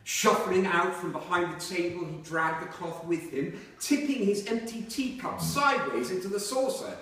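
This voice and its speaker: A man reading aloud from a novel to listeners in a measured storytelling way. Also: high voice, a bit bright. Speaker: reader at a book reading. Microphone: distant microphone. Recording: very echoey hall, far from microphone.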